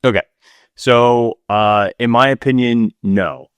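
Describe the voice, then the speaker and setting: A man's voice: mid-range voice, takes it slowly, clear, resonant tone, no echo. A man co-hosting a casual talk show, chatting through listener questions in conversation. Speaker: podcast co-host.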